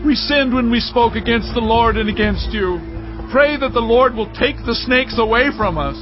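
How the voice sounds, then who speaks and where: Very high-pitched, distraught Israelite. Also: male voice, normal speed, dull audio, over music. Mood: desperate pleading. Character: bitter, distraught Israelite man crying out. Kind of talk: dramatized audio Bible acting.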